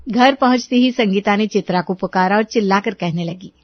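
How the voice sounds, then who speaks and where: A woman reading scripted storytelling narration for an animated moral tale. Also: medium pitch, brisk, dry recording, dull audio.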